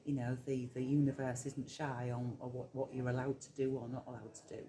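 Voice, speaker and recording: A woman's voice: very deep voice, level tone, brisk. A middle-aged woman from Yorkshire with a Yorkshire accent, a hypnotherapist talking casually as an interview guest, reflective and full of ums. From Yorkshire, England. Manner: chatty, reflective, many ums and soft laughs.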